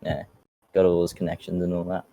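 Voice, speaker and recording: A man's voice: low voice, level tone, fairly quick, gravelly, mellow, dry recording. A man, chatting in a casual, joking way on a podcast.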